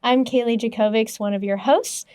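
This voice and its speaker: A woman hosting an interview podcast, upbeat and encouraging, filling in with quick affirmations. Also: on the high side, steady tone, warm tone. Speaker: podcast host.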